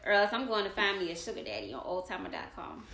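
A woman's voice: mid-range voice, sing-song, quick pace, pushed and bright. A woman playing a brash, pregnant young girlfriend in an amateur comedy table read, sassy, blunt and slangy. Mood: scornful, annoyed. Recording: roomy, echoey room.

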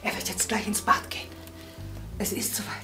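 An actress playing an outwardly polite, secretive shopkeeper's wife in a crime drama, speaking in a measured, restrained way. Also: female voice, medium pitch, little pitch movement, over music, close to an everyday voice. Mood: tense, hushed. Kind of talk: German television crime drama acting.

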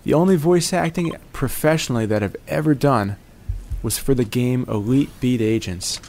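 A man's voice: medium pitch, moderate pace, darkish tone, a little hiss or hum. A man in his forties giving playful gameplay commentary in a jokey morning-DJ manner, wandering off on tangents. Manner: jokey morning-DJ banter, calls viewers "baby" and "my friends". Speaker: gaming commentator.

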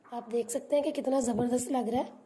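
High-voiced woman with soft, mellow tone. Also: steady tone, quick pace. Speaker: cooking channel host. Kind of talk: step-by-step recipe narration. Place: home kitchen.

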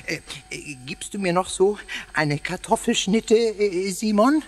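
An actor playing a cheeky, playful, excitable ghost in a children's radio play, theatrical and husky, tripping over his words. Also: high-pitched, unhurried. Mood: chatty, playful. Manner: theatrical, stammering over words, muddling them. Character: cheeky, playful, excitable old castle ghost, greedy for snacks.

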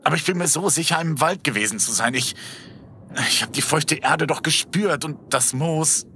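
A man's voice: on the high side, normal speed, bright, forceful tone, a little hiss or hum. A man acting an anxious, insistent young man in an audio drama, stammering and earnest as if shaken by something strange. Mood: agitated, insistent.

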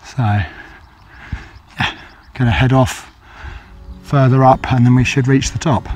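A man with a slightly croaky voice, chatting casually to a handheld camera while walking a grassy hillside, with plenty of ums and hesitations. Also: mid-range voice, fairly even intonation, takes it slowly, darkish tone, faint music behind. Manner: rambling, hesitant with many fillers. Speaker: walking vlogger. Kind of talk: casual hiking commentary to camera. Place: outdoors on open grassy hillside. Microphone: handheld camera held by the walker.

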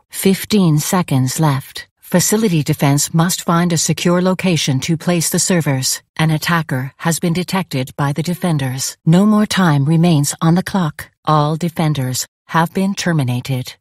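Low, detached AI game announcer. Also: female voice, dry recording, studio quality. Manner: detached, formal, clipped, evenly measured. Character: calm female AI announcer of a sci-fi combat facility. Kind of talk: scripted video game announcements.

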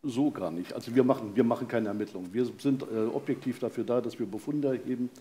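A man's voice: mid-range voice, quick pace, mellow. A man in his sixties giving matter-of-fact, explanatory answers as an expert guest in an interview on stage, through a headset mic. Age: 60s. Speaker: forensic pathologist, guest. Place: stage in a vaulted venue with live audience. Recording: clear ambient noise of a live venue.

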